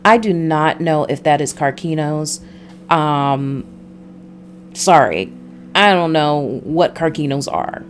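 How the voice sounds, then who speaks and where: A woman's voice: low-pitched, animated, slow pace, slight background noise. A woman streamer reading a visual novel aloud and commenting casually as she plays.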